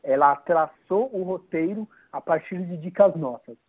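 A man's voice: on the high side, measured pace, poor audio. A man in his thirties with a São Paulo Brazilian accent, chatting informally as an interview guest. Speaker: interview guest, social media city guide creator. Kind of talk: casual chat.

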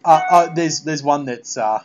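A man hosting a casual podcast interview, talking in a halting, meandering way. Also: fairly high pitch, background noise. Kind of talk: casual fan interview chat. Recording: dull, lo-fi podcast audio.

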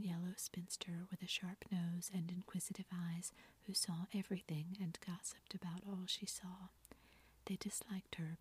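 A woman reading a classic novel aloud in a soft, calm, unvoiced ASMR whisper. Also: fairly low pitch, husky. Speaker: narrator.

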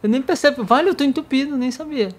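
A man's voice: very high voice, fast talker. Nasal Brazilian man chatting. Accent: Brazilian Portuguese. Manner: reflective, storytelling with quoted dialogue. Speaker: worship songwriter and singer. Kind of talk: casual chat.

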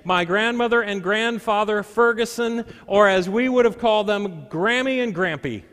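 A male pastor preaching a sermon, reading scripture and telling stories with deliberate, dramatic pauses and emphasis. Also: high-pitched, a bit bright. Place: large church sanctuary. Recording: echoey hall with long reverb.

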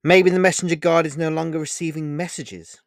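A man voicing a centuries-old male vampire husband who talks in a flippant, coaxing, lively way. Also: fairly high pitch, measured pace, no echo, studio quality, pitched above the performer's own voice. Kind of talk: storybook character voice.

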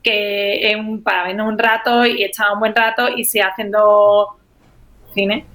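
A woman guest in a casual chat, telling stories in a relaxed way with fillers and wry irony. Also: mid-range voice, unhurried. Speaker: guest, actress in a short film.

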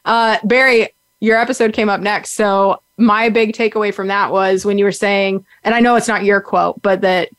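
A woman's voice: mid-range voice, fairly even intonation, normal speed, few pauses, a bit bright, no echo. A young adult woman hosting a podcast, chatting casually and upbeat, rambling with lots of "like" and "um". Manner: rambling, upbeat, many fillers like "like" and "um". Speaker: podcast host. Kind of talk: casual chat recap. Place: sitting on the ground.

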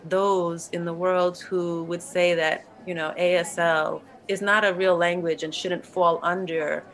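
A woman's voice: on the low side, level tone, a little slow, a bit bright. A woman interviewing a guest in a curious, engaged way. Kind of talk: conversational interview.

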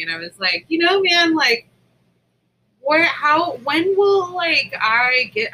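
Woman, very bright forceful voice, casual storytelling, informal, animated, some background noise. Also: fairly high pitch, poor audio. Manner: animated retelling with acted-out quotes, informal and profane. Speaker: podcast co-host.

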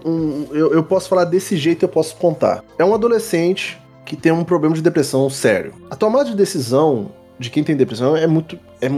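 A man's voice: fairly high pitch, a little mellow. A Brazilian man speaking as a guest psychologist in a casual podcast, explaining things colloquially with repetition and little check-in questions.

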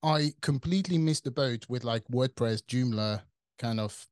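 A man's voice: mid-range voice, normal speed, darkish tone, dry recording, studio quality. A man in his forties from France with a French accent, talking casually as an interview guest, thinking aloud with fillers and restarts. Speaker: guest, tech company CTO.

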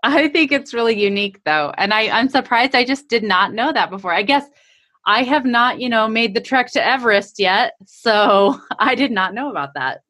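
A woman's voice: a little high, lively intonation, moderate pace, fairly bright tone. A woman speaking as a podcast host in a casual, chatty interview style.

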